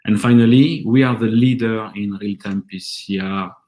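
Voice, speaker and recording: A man with a French accent giving a measured, earnest conference presentation for his company. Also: on the low side, steady tone, dark tone. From France. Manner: earnest, measured delivery with occasional restarts.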